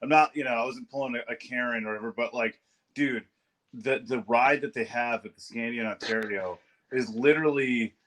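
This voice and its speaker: A man's voice: medium pitch, a little fast, fairly bright tone, rough recording. Laid-back slangy male podcast host. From Southern California. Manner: laid-back, slangy, says "dude" a lot. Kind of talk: casual chat over video call. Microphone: video call.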